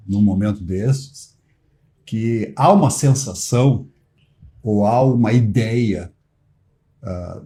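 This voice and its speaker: A man speaks as a guest on a talk show. He explains at length, hesitating with fillers and restarts, then pushing his points home with emphasis. His pitch moves a lot and his tone stays mellow and warm. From Brazil. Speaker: society treasurer, guest.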